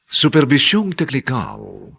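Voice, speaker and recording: Male radio drama announcer, Filipino accent, very animated intonation, husky, formal, theatrical, courteous. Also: medium pitch, slow pace.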